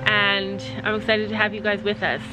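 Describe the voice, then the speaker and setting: A middle-aged mother talking to her phone camera on an outdoor porch in a casual vlog, halting and emotional, with many ums and sighs. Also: mid-range voice, level tone, dull audio. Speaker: family vlogger, mother. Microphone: phone held at arm's length. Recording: background music under the voice. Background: music.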